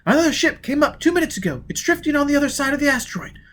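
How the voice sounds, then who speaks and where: A man doing a high anxious young spacer woman. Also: expressive, very fast, a bit bright. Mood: agitated. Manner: high, pleading, fretful.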